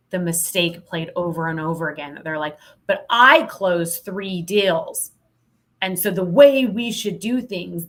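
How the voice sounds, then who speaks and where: A middle-aged woman speaking candidly and emphatically as a guest in a conversational podcast interview, with a wry edge. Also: mid-range voice. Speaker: podcast guest, sales trainer.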